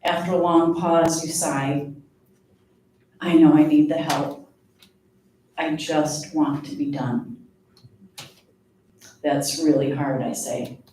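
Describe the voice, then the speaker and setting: A woman giving a live poetry reading of her own work, in a measured, deliberate way with pauses. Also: low-pitched, steady tone, mellow. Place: live reading event before an audience. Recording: very echoey room, distant mic, faint noise.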